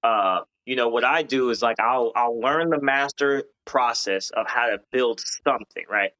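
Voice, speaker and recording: Bright-voiced man, earnest and rambling. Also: mid-range voice, moderate pace, poor audio. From Hawaii. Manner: earnest, rambling, many fillers and restarts. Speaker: guest, founder of a gaming company. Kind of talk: casual podcast interview.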